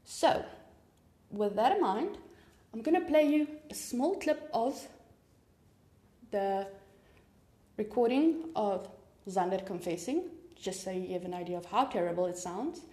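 A woman's voice: medium pitch, lively intonation, unhurried, pauses often, dark tone, echoey room. A woman from South Africa whose first language is Afrikaans, hosting a true crime podcast in a chatty, informal way with rambling asides and a South African accent. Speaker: podcast host. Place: home room with papers on desk.

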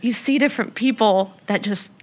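A woman's voice: a little high, normal speed, darkish tone. A young woman talking casually and reflectively, rambling with many fillers as she shares her experience. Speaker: guest. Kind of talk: casual conversation.

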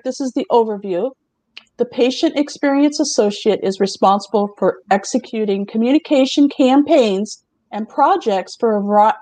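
Chatty woman host, mellow voice. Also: a little high, no room sound, poor audio. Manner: chatty, laughs often, asks the others questions. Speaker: host presenting remote job openings. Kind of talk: casual chat show presentation.

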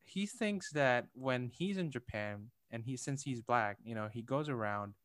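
Young man, smooth voice, thoughtful. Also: mid-range voice, animated, unhurried, a bit bright, dry recording, studio quality. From Indonesia. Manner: thoughtful, hesitant, many fillers and restarts, laughs lightly. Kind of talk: casual conversation.

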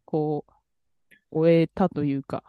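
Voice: medium pitch, flat delivery, slow pace, smooth, dry recording, phone-quality sound. A young adult podcast co-host in casual chat about music, chatty and hesitant, trailing off with fillers.

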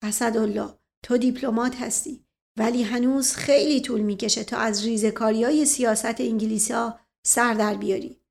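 A woman's voice: a little high, steady tone, creaky, a little mellow. A woman voicing a self-important, paranoid older uncle, pompous and grave, in a storybook reading. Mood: scornful, certain.

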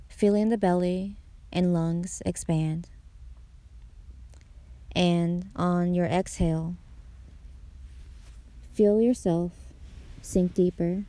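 Woman doing guided meditation, really slow n soft dark tone, soothing, dry close recording. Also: on the low side, no echo. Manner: soothing, pausing between short phrases. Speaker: meditation guide, podcast host.

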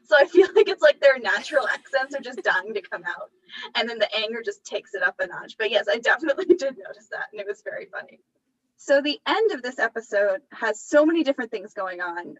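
A young woman chats through a TV recap on a podcast, laughing easily between thoughts. Her voice sits a touch high. She sounds a little distant from the mic, with a dull, muffled tone. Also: quick pace. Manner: chatty, laughs often, animated asides. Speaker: podcast co-host. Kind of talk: casual chat recapping a TV episode.

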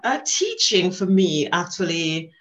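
A middle-aged Jamaican woman telling the story of her life as a guest on a podcast. She speaks with an easy, animated rhythm and laughs often as she remembers. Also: fairly low pitch, unhurried. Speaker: guest, teacher. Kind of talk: conversational storytelling.

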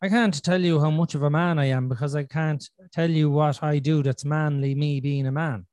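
A man hosts a casual podcast, working his points out aloud with restarts and lots of "you know". His voice sits a little higher than most men's. The recording is dry and close, with no room sound. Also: steady tone, moderate pace, darkish tone, no room sound. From Ireland. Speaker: podcast host. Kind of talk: casual conversational podcast chat.

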